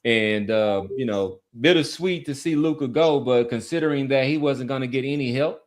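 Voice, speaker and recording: A man's voice: medium pitch, moderate pace. A man talking sports casually as a podcast panelist, argumentative and often repeating his points.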